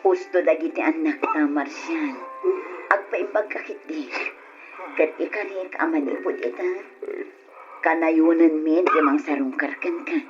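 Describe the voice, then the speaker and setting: A woman's voice: low voice, expressive, a little slow. A Filipino woman with an Ilocano accent playing a loving, devout mother in an earnest, sentimental old radio drama style. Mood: gentle, consoling. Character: loving, forgiving mother and wife, tender and devout. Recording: dull, noisy old radio drama recording.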